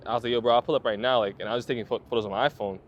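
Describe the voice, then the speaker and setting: A man's voice: medium pitch, fairly even intonation, racing, muffled recording, slight background noise. A man in his twenties, a photographer, telling his story in a laid-back interview with lots of slang, fillers and swearing. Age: 20s. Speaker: photographer guest.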